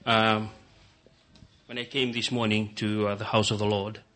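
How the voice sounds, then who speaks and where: A man whose first language is Fijian, preaching a sermon in English in short phrases with many fillers, pausing for an interpreter. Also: fairly low pitch, level tone, fairly bright tone. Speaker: guest preacher.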